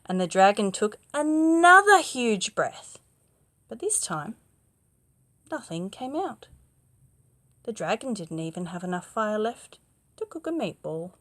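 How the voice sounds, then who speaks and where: A woman narrating a children's bedtime story in an expressive, dramatic way. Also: medium pitch, unhurried, long pauses, no echo.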